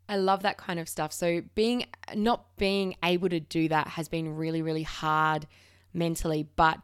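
A woman's voice: lowish voice, clear, resonant tone, no room sound, studio quality. A woman in her thirties hosting her own podcast, talking frankly and openly with casual swearing and frequent restarts, while she has a slight cold. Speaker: podcast host. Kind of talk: candid solo podcast chat.

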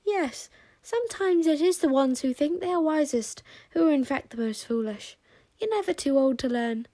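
A woman's voice: high voice, expressive, moderate pace, mellow, no room sound, pitched above the performer's own voice. A woman voicing a prim, disapproving female frost sprite who lectures in a sniffy way.

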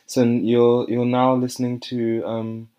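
A young man, chatting casually as a podcast co-host and telling stories with lively quoted voices. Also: medium pitch, slow pace. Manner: chatty storytelling, animated when quoting others. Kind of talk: casual chat.

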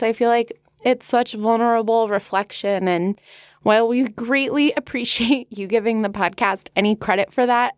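A woman's voice: medium pitch, no echo, clean studio sound. A woman co-hosting a podcast in casual, chatty talk, filled with "like". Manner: chatty, full of "like". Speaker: podcast co-host. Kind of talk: casual chat.

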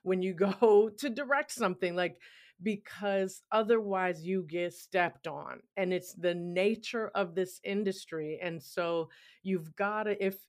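Supportive woman interview host. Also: mid-range voice. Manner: supportive, affirming. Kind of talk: conversational interview.

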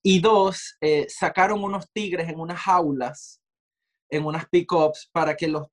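A man's voice: fairly high pitch, fairly even intonation, a little slow. A Venezuelan man answering interview questions in a reflective, digressive way, full of fillers and asides. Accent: Venezuelan Spanish. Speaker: interview guest, writer. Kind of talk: conversational interview.

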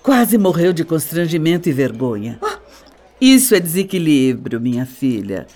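A woman's voice: low-pitched, very animated, moderate pace, warm tone. A Brazilian actress playing a condescending middle-aged stepmother in a radio soap, with a theatrical, patronizing delivery. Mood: condescending, dramatic.

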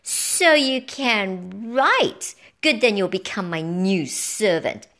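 A woman's voice: mid-range voice, expressive, slow, fairly bright tone. A woman voicing a wicked, ancient witch empress in a storybook, barking orders in a shrieking, commanding manner. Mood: triumphant, menacing. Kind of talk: storybook character voice.

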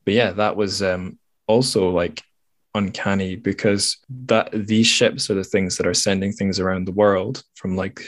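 A man's voice: deep voice, little pitch movement, measured pace, no echo. A Scottish man hosting a podcast, chatting casually and reflectively in a rambling, thoughtful, self-correcting way. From Scotland. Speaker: podcast host.

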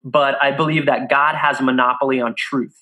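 A middle-aged man from Brazil talks as a podcast guest, earnest and unhurried. His voice is bright and forward, with plenty of edge. Pitch sits at an ordinary middle height for a man. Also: steady tone, rough recording. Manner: earnest, deliberate, acts out quotes in a raised voice. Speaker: guest, music blog founder. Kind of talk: casual podcast conversation.

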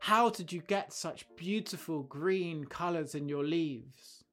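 A man's voice: on the high side, slow, fairly bright tone. A man voicing a small, dissatisfied male garden spider who pleads and repeats his questions. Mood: plaintive.

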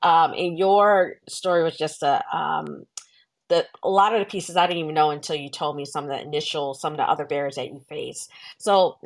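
A woman's voice: low voice, steady tone, brisk. A woman hosting an interview podcast and mentoring exam takers, speaking in an encouraging, conversational way.

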